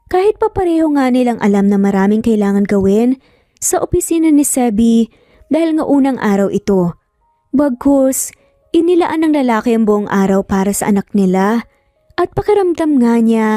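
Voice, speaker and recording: A woman's voice: a little high, no echo, studio quality. A woman with a Filipino accent reading a scripted, dramatic story as its narrator. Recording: soft music under the voice.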